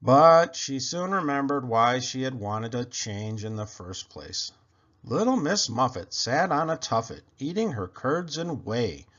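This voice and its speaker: A man narrating a children's picture book aloud in an expressive storytelling style. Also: medium pitch, measured pace. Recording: dull lo-fi recording.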